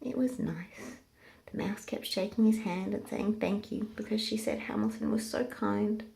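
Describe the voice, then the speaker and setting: A woman's voice: mid-range voice, moderate pace, vocal fry, dark tone. A woman narrating a picture book aloud for children in a storytelling style.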